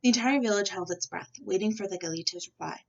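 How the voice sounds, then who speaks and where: A woman reading a children's storybook aloud in an expressive narrating style. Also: medium pitch, fast, gravelly, distant mic, muffled recording. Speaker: storybook reader.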